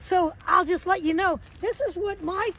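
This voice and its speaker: A woman's voice: extremely high pitch, moderate pace, a bit bright, a little hiss or hum. A middle-aged woman hosting an on-site farm interview, chatty and prompting, speaking straight to the audience. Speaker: extension host interviewer. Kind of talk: on-site interview and narration to viewers. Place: outdoors on a farm.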